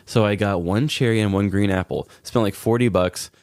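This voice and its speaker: A man's voice: on the low side, normal speed, darkish tone, no echo, studio quality. An American man co-hosting a podcast, telling stories informally with mock exasperation and acted-out quotes. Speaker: podcast co-host. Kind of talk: casual conversational storytelling.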